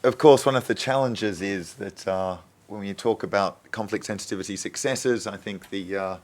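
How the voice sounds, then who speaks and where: A man's voice: on the low side, moderate pace. A man moderating a panel discussion, speaking hesitantly and restarting phrases.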